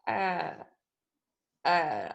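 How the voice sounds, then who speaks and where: A woman's voice: fairly low pitch, sing-song, drawn-out words, vocal fry. A woman moderating a casual cast discussion in an informal, somewhat hesitant way. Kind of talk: casual chat.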